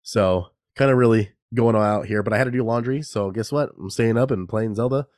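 Congested man with a deep dry voice. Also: a little fast, darkish tone, no echo. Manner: rambling, self-deprecating, laughs often. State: congested and nasal from a cold. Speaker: podcast host. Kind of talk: casual solo podcast chat. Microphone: new podcast microphone.

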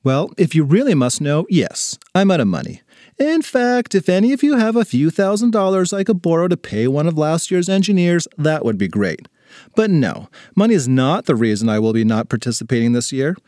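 A man's voice: on the high side, very animated, normal speed, mellow, no echo, studio quality, pitched above the performer's own voice. A man voicing a headstrong, sarcastic fifth-grade girl with a dramatic manner in a children's story. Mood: defiant, sarcastic. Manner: exasperated, dramatic delivery.

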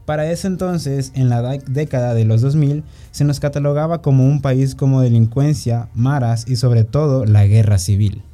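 A man's voice: mid-range voice, moderate pace, few pauses, warm tone, soft background music. A man with a Salvadoran accent co-hosting a radio segment, reading prepared notes in a friendly way. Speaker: radio co-host.

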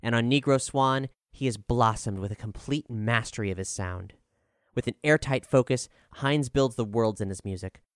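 Clear-voiced man reading a scripted review. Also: medium pitch, no echo, clean studio sound. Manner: measured, articulate, formal delivery. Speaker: narrator reading an album review.

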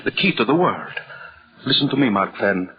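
A man's voice: medium pitch, very animated, normal speed, husky. An actor playing a grandiose, power-mad scientist in an old radio thriller, insisting feverishly and repeating his words. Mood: commanding, scornful. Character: megalomaniac male scientist obsessed with a speed potion. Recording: dull, lo-fi old radio recording.

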